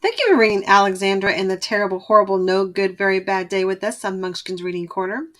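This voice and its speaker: A woman reading a children's storybook aloud as host, with expressive, emphatic phrasing. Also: medium pitch, fast.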